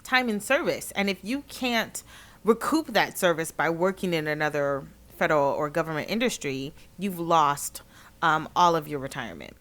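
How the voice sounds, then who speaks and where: Emphatic woman co-host, lowish voice. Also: lively intonation, moderate pace, fairly bright tone. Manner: emphatic, gives frequent listening murmurs. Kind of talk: conversational history podcast discussion.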